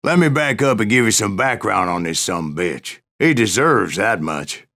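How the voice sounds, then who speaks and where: Grizzled old male gunslinger, game narrator; deep-set, crackling Western American drawl, folksy and full of brag. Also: sing-song, normal speed, pushed and bright, heavily acted. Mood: wry, dramatic storytelling. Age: older. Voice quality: gravelly. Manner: folksy, salty, yarn-spinning. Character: grizzled old male bounty hunter, boastful Wild West storyteller. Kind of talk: dramatic first-person video game narration.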